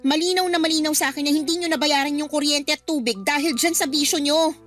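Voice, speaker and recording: Defiant, outspoken Filipino daughter, radio drama, extremely high pitch, bitter and confrontational. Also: female voice, fairly even intonation, fast, fairly bright tone, soft background music. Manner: confrontational, sarcastic.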